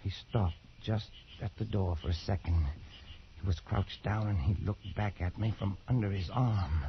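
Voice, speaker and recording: A man's voice: low-pitched, creaky, a little hiss or hum. An actor playing a shaken, defensive colonial Englishman in a post-war radio drama, speaking in a hesitant, stammering way. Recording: dull vintage radio recording with music.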